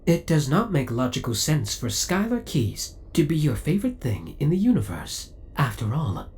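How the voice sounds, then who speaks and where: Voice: medium pitch, darkish tone. An actor voicing a possessive, devoted spaceship AI in an audio roleplay, speaking in a formal, precise, clinical and politely menacing way. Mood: clinical, suspicious. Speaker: voice actor playing a ship's AI. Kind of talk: scripted ASMR audio roleplay acting.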